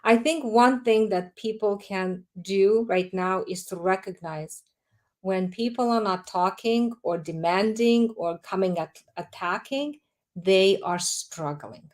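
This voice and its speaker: A woman, a guest author answering interview questions in a calm, emphatic, explanatory way. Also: mid-range voice, slow.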